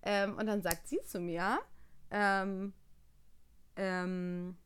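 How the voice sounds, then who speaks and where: A young adult woman talking casually as a podcast co-host, telling personal stories in an animated way and quoting what people said. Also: medium pitch, very slow, clear, resonant tone.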